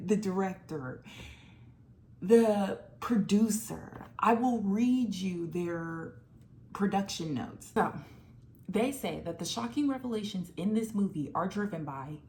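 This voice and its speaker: A woman's voice: medium pitch, a little mellow, slight background noise. A woman in her twenties giving casual, emphatic movie commentary, full of filler words. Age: 20s. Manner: chatty and emphatic, full of "like", swings from fast to drawn out.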